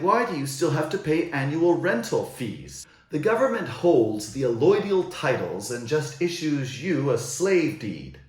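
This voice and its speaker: A man's voice: on the high side, reverberant. A man from the United States, delivering an indignant, rhetorical scripted monologue. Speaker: commentator.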